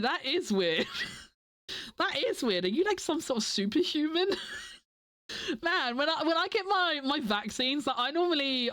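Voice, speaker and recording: A woman's voice: medium pitch, a bit bright, no echo. A woman streamer chatting casually to her viewers, stuffy and nasal from a cold, rambling and giggling often. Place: home desk. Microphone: desk mic.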